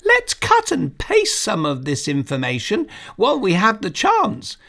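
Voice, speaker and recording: Man doing a bold nine-year-old girl for a storybook, very high and breathless, lively pitch. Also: a little slow, gravelly, exaggerated voice. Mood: insistent.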